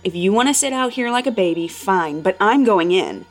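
A woman's voice: mid-range voice, expressive, moderate pace, soft background music, lightly acted. A woman as a bold, brash young girl. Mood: angry, scornful. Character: bold, sarcastic, impatient girl daring her friend. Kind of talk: horror story character dialogue.

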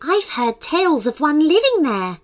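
A woman voicing a tiny, eager, excitable female fairy in a children's story, with a chirpy manner. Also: high-pitched, a little slow, pitched above the performer's own voice. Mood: helpful.

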